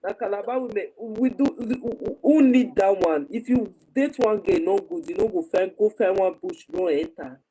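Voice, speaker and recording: A woman with a Nigerian accent argues her opinions in a mellow, rounded voice. She is emphatic and circles back on her words, mixing in Pidgin. The recording has faint noise and clicking. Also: mid-range voice, moderate pace, few pauses, low-quality recording. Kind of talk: heated informal discussion with Pidgin mixed in.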